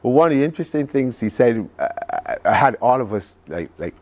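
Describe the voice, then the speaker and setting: A man's voice: medium pitch, very animated, a little fast, darkish tone. A Barbadian man speaking conversationally as a television interview guest, recounting things in an enthusiastic, reflective way. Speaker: studio guest recounting a trip.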